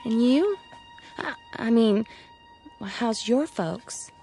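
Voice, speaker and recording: Slow-talking, high-voiced young country woman. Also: animated, dark tone, soft background music. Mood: sweet, nervous. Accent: rural American. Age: young adult. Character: stubborn, nervous young country sweetheart. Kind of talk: radio drama acting.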